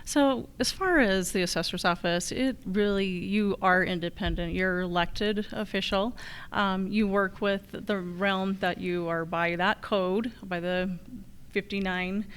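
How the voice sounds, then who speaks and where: A woman's voice: medium pitch, steady tone, measured pace, a bit bright, clear, resonant tone. A woman with an American accent giving plain-spoken, conversational answers as a candidate at a forum. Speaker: candidate for local assessor.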